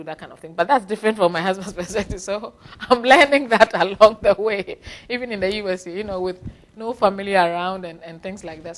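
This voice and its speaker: Ghanaian woman, thoughtful and laughing often. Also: mid-range voice. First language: Fanti. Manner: thoughtful, frequent laughs and restarts. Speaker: interviewee. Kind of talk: reflective personal interview.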